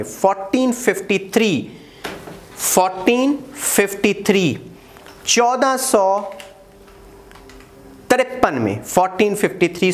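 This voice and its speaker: Guy in his 40s teaching history at a whiteboard, lapel mic, lots of uh's, repeats dates for emphasis. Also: high voice. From Pakistan. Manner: animated, gestures widely, repeats key dates, frequent "uh" fillers. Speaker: lecturer. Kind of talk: exam-prep history lecture. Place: small room in front of a whiteboard.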